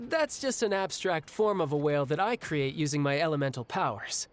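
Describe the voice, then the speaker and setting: An actor playing a young, restless, battle-hungry warrior in a video game, speaking in a breezy, reflective way. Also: male voice, fairly high pitch, expressive, moderate pace, slight background noise, close to an everyday voice. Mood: wistful, casual. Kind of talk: video game cutscene acting. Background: game music.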